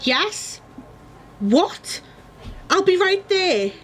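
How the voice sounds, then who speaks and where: A woman acting as a feisty young heroine in a radio play. Her high voice leaps around as she speaks with sharp, exclamatory indignation. Faint music plays underneath. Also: sing-song, extremely slow, exaggerated voice. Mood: indignant.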